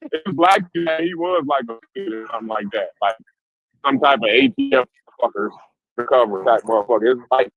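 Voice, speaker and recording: Earnest man over lo-fi call audio. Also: mid-range voice. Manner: earnest, patient questioning. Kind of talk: heated group conversation.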